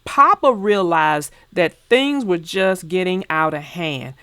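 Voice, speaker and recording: A woman's voice: fairly low pitch, lively intonation, slow pace, fairly bright tone, clear, resonant tone, dry recording. A woman narrating a children's storybook and talking to young listeners in an emphatic, instructive way. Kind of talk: read-aloud storytelling and explaining to children.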